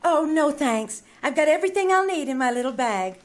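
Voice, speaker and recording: A woman's voice: high voice, expressive, a little fast. A woman playing a breezy, brassy young showgirl in an old-time radio comedy. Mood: puzzled, game. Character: breezy, brassy young showgirl, naively mistaken job seeker.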